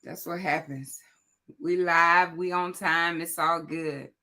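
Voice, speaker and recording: Raspy, hoarse woman host. Low, loud and chatty, speaking straight to the viewers. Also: steady tone, slow. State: hoarse, voice cracking. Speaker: host of a morning motivational livestream. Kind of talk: casual motivational chat to viewers.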